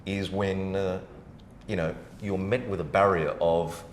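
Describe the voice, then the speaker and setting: A man's voice: low voice, fairly even intonation, a little slow, slight background noise. A middle-aged man hosting a podcast in casual conversation, recorded in a roomy space. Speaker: podcast host.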